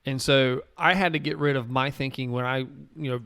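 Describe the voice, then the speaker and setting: A man who races Ironman, talking as a podcast guest in a halting way, leaning on "you know" fillers. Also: mid-range voice, steady tone, a bit bright, smooth, no echo, studio quality. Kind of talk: casual podcast chat.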